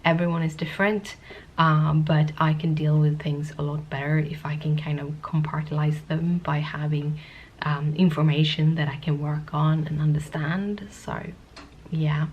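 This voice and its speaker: Low-voiced woman vlogging with a cold. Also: little pitch movement, moderate pace, muffled recording, slight background noise. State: sniffly with a cold. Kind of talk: casual talking-to-camera vlog.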